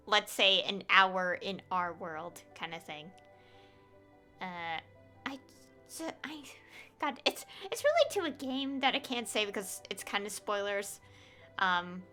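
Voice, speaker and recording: A woman's voice: a little high, sharp tone. A woman streaming a game with casual, rambling commentary, thinking aloud.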